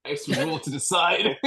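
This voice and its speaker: A man from Nigeria chatting casually as an interview guest on a video call, easygoing and joking about himself. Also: high-pitched. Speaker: powerlifter, podcast guest. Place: indoor room at home, video call. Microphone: video call, device at a short distance.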